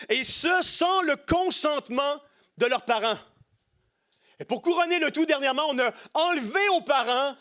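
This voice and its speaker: A man's voice: very high-pitched, bright, forceful tone. A middle-aged man with a Quebec French accent preaching an impassioned sermon, emphatic and rhetorical, repeating key phrases. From Quebec, Canada.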